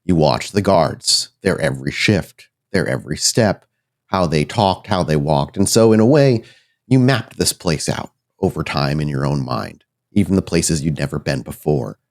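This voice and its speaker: A man working as game master, improvising suspenseful, deliberate narration for a horror tabletop roleplaying game. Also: rumbling low, animated. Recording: music behind the voice. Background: background music.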